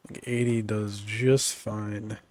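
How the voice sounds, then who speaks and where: A man streaming a video game, talking casually in a relaxed, rambling way with many fillers, his voice congested from a cold. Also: on the low side, slow pace, a little hiss or hum. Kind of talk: casual gaming commentary.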